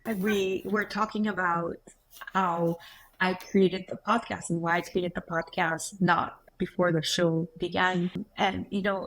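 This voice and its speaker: A woman's voice: lowish voice, fairly bright tone, rough recording. A woman hosting an interview podcast, reading a guest introduction and asking about the guest's background. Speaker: podcast host.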